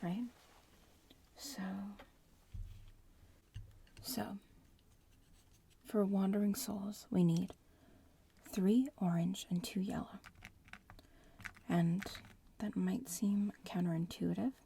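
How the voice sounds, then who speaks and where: Bratty witch student voiced by a woman, medium-pitched, breathy ASMR whisper, unhurried teasing explanations. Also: warm tone, a little hiss or hum. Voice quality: breathy whisper, mostly unvoiced. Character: bratty young witch in training, sassy classmate.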